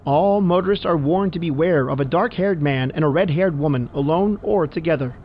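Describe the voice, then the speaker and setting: A man's voice: on the high side, a little fast, lo-fi sound. A man playing a grave, formal radio announcer giving an urgent 1950s-style news bulletin, heard through a car radio filter. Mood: grave warning. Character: grave male radio announcer reading an escaped-killer warning. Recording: band-limited car radio, clear noise.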